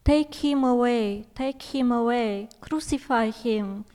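Stern accuser in a reverberant hall. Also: female voice, a little high, dark tone. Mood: accusing. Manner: slow, insistent, declamatory. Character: stern accusing religious elder pressing for execution. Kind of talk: dramatised scripture audio acting.

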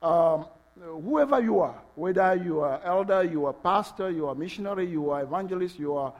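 A man from Togo with a French-English accent preaching a sermon in a church, halting with fillers, then building to passionate emphasis. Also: high voice, lively intonation. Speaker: pastor. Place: church sanctuary.

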